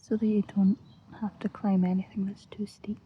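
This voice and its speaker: Scottish girl doing asmr guided visualisation, soft breathy half whisper, really even pitch, bit muffled outdoor recording. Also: slight background noise. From Scotland. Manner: gentle, slow, soothing, with long pauses. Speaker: ASMR host. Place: outdoors, lying on grass. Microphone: close-up.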